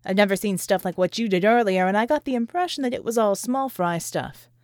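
A woman voicing a skeptical, wary American man with a gruff, folksy drawl, in an audiobook story. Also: high voice, fairly quick, dry recording, clean studio sound, close to an everyday voice.

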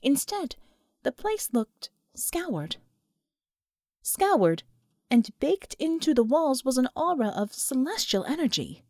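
A woman reading scripted fiction as an audiobook narrator, with expressive, dramatic storytelling. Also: high-pitched, takes it slowly, no echo, studio quality.

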